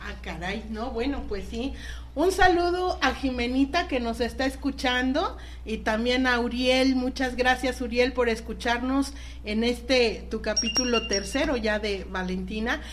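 A woman's voice: fairly high pitch, a little slow, a little hiss or hum. A woman with a Mexican accent hosting a chatty radio show. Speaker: radio host.

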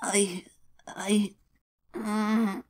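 Woman as husky, timid young mouse boy. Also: medium pitch, flat delivery, drawn-out words, darkish tone. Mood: pleading, distressed. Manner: hesitant, earnest. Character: timid, confused young male electric mouse with amnesia. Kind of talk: fan comic dub voice acting.

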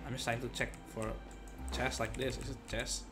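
A young man streams a game with casual commentary. He rambles and repeats phrases in a mid-pitched voice, with game music clearly behind him. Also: flat delivery, normal speed.

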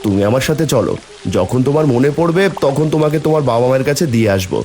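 A man's voice: medium pitch, lively intonation, rapid-fire, darkish tone, slight background noise, lightly acted. A man playing a poor, kindly village husband in a cartoon, earnest and plaintive. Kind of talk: cartoon fairy-tale voice acting.

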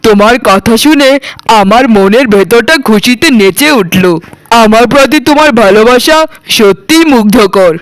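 A man playing a proud, vain, ageing landlord father in a melodramatic cartoon, declaiming grandly and quick to take offence. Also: sits high, no room sound, natural voice, low-quality recording. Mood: delighted. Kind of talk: melodramatic cartoon story acting.

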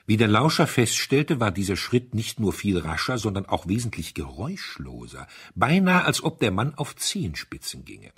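A man narrating a mystery story from a script in an expressive, dramatic storytelling manner. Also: lowish voice, moderate pace, few pauses, breathy edge. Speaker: audiobook narrator.

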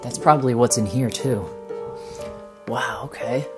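A man's voice: medium pitch, measured pace. Young man musing aloud over music. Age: 20s. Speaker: urban explorer vlogger. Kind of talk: casual vlog narration while exploring. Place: indoors, rooms of an abandoned house.

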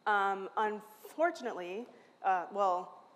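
A woman's voice: medium pitch, slow, a little hiss or hum. A woman in her thirties who stutters, giving a candid, self-mocking talk from a lectern on stage. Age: 30s. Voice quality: stutters, with repeated sounds and restarts. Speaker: conference speaker. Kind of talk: lightning talk. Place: large hall, standing at a lectern on stage. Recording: long reverb from a large hall.

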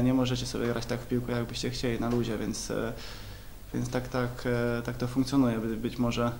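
Young man, footballer, press conference, halting and repetitive, flat monotone, slight background hiss. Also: medium pitch. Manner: halting, many repeated words and fillers. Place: club press room, indoors. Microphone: table mics in front.

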